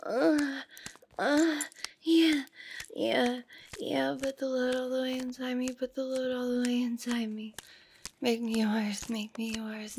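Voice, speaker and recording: A woman acts out an adult female boss turned submissive in intimate erotic audio roleplay. Her high voice crackles with fry as she pleads breathily between moans and whispers. There is faint noise behind her. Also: a little mellow, heavily acted. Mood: pleading, urgent.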